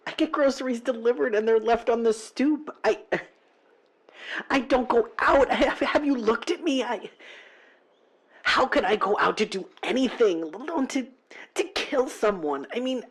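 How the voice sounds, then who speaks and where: A woman playing a distressed, reclusive accused mutant in an audio drama, pleading innocence in halting, faltering speech. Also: on the high side, husky, full character voice. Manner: halting, faltering, with repeated words. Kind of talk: audio drama acting, courtroom testimony.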